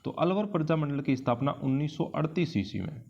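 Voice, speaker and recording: A man's voice: fairly high pitch, expressive, brisk, darkish tone, dull audio. A man with an Indian accent teaching an exam-prep lesson in question-and-answer form, didactically repeating key phrases for emphasis. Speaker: history teacher.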